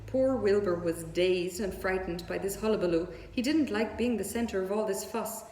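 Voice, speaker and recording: A woman's voice: mid-range voice, normal speed, mellow. A woman reading a children's story aloud as narrator. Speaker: story reader. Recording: echoey room with long reverb.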